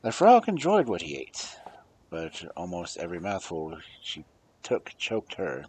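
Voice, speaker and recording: A man reading fairy tales aloud as a storyteller, with a husky voice and a dramatic delivery that moves between hushed and emphatic. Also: low voice, wildly swooping pitch, a little slow. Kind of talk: expressive fairy-tale narration, read aloud.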